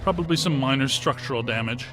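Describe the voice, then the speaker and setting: A man voicing a literal-minded, brainy scientist in a video game cutscene, deadpan and clinically precise. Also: mid-range voice, fast talker, over music, natural voice.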